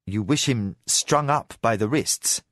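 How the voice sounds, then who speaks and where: A man's voice: medium pitch, unhurried, fairly bright tone, no echo, studio quality, close to an everyday voice. A man voicing a hard, grim male warrior who speaks in a plain, firm voice. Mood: questioning.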